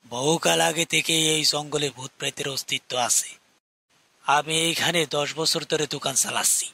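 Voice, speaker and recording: A man's voice: on the high side, steady tone, normal speed, creaky, pushed and bright, no echo, exaggerated voice. A man voicing a curious, deferential village tea-stall keeper with a folksy manner in a cartoon. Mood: folksy, knowing.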